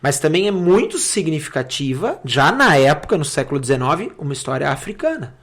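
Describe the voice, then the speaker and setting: Man, slightly high voice, Rio Grande do Sul accent, podcast guest, conversational explaining, halting with repeated words and tag questions. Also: big pitch swings, fairly bright tone. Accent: southern Brazilian, Rio Grande do Sul. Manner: halting, repeats words, seeks agreement with frequent tags. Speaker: podcast guest, city councillor.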